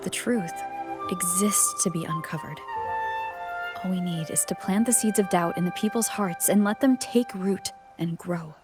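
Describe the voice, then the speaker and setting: A woman acts out a steadfast adventurer in a fantasy game. Her voice is on the low side, calm and deliberate, with music clearly audible behind it. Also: lightly acted. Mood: calm, resolute. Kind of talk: scripted game story dialogue. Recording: background music under the voice.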